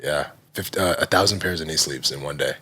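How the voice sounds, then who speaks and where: A man in his thirties with a very low, deep voice tells his story in a loose, casual way, leaning on fillers as he goes. He talks as a podcast guest. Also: fairly quick, slightly rough. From Nigerian heritage. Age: 30s. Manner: relaxed, heavy use of fillers like "you know" and "like". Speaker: guest, fitness brand founder.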